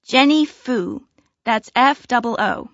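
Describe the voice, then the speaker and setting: An actress playing a friendly young woman who signs up for a social club, answering questions in a relaxed, clear way. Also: fairly high pitch, slow, dry recording, full character voice. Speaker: new club member. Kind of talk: scripted listening-test dialogue. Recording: dry, slightly dull studio recording.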